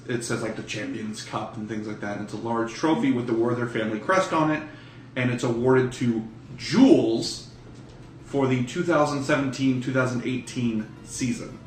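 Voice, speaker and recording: A man's voice: mid-range voice, moderate pace, slight background noise. Man running a tabletop game, echoey room. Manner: profane, slangy, conversational. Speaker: game master. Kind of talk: casual improvised tabletop game narration. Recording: echoey room, distant mic, music underneath.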